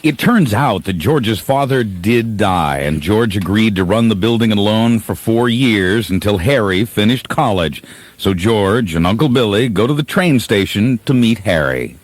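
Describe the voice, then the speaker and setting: Animated male radio-play narrator. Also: on the low side, measured pace, dry recording.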